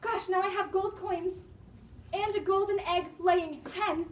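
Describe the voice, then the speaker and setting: A woman's voice: very high voice, fairly even intonation, unhurried, a little hiss or hum. A woman voicing a plucky young girl sneaking around in disguise, talking eagerly. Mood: worried. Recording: echoey room, mic far off.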